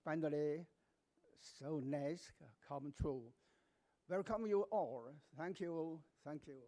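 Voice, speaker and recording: An elderly retired professor giving a welcome address in halting, broken English with pauses. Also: male voice, a little high, mellow, a little hiss or hum. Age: older. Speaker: professor emeritus. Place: university auditorium.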